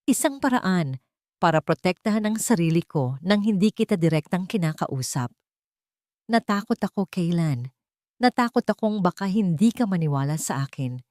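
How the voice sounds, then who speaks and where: A woman voicing a gentle older mother in an audio story, making a halting, pleading confession. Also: on the low side, expressive, no echo, studio quality, natural voice. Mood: fearful pleading. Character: hurting elderly mother, gentle, fearful, confessing.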